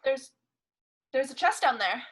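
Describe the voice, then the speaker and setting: A woman's voice: lively intonation, unhurried, breathy edge, exaggerated voice. A woman voicing a desperate, jilted young maid with a tremulous, pleading manner, in 1930s-style radio drama acting. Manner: tremulous pleading, then shrill outbursts.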